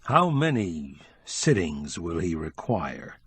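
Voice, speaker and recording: A man voicing a dry-witted middle-aged man who speaks wryly and slowly. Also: deep voice, very animated, fairly bright tone, slightly rough, no room sound, voice pushed down, full character voice. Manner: dry, wry.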